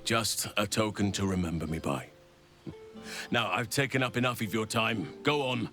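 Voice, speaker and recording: An actor playing a kindly old druid in a video game, speaking in a measured, reflective way with formal wording. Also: male voice, on the low side, sharp tone, soft background music. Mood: fond, pleased. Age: older. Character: gentle, kindly old druid man, wise and fond of children. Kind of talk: video game character dialogue.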